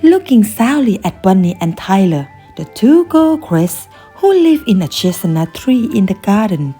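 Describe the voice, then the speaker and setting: A woman narrates a children's bedtime story with dramatic, deliberate phrasing. Her voice is lively in pitch, slow-paced and a little dark in tone, with music quietly underneath. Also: husky.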